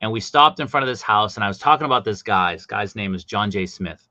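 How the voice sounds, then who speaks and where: A man's voice: on the low side, expressive, sharp tone, no echo, dull audio. A middle-aged man with a Boston accent, a guest toy collector chatting casually and telling jokey stories. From Boston, USA. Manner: jokey, chatty anecdotes with quick bursts. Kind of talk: casual chat, storytelling.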